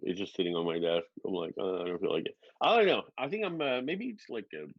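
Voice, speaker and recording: A man's voice: low-pitched, expressive, fast talker, no echo, lo-fi sound. A man in his forties, a guest drone pilot, chatting casually and rambling, swearing freely and laughing often. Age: 40s. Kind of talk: casual chat.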